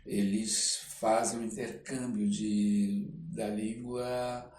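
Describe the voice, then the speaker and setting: Slow-talking older Brazilian man, low voice. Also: level tone, a little mellow. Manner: halting, reflective, frequent tag questions. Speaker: interview guest, actor and storyteller. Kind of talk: casual interview talk with personal anecdotes. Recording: roomy echo, clear background noise.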